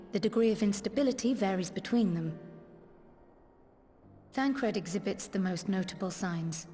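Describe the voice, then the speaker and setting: A woman's voice: mid-range voice, warm tone, close to an everyday voice. Formal, grave scholarly fantasy woman played by an actress. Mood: grave concern. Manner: formal, measured, archaic diction. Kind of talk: video game cutscene acting. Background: music.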